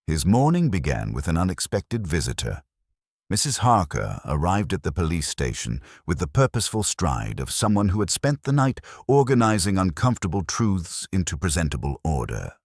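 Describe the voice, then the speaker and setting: A man with an extremely deep voice narrates a mystery in a formal, measured way. His pitch moves expressively through the sentences, and the sound is dry, with little room around it. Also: wildly swooping pitch, no echo. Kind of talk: scripted audio mystery narration.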